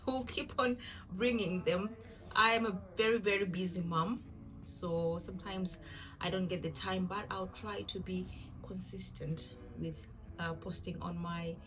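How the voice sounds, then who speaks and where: Low-voiced Zimbabwean woman vlogging over music. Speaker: cooking vlogger. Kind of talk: casual introductory vlog talk to camera.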